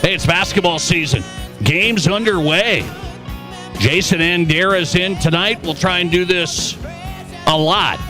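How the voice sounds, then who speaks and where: A man with a slightly high voice hosts sports talk radio. He speaks casually and conversationally, in short, punchy phrases. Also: a little slow, music in the background.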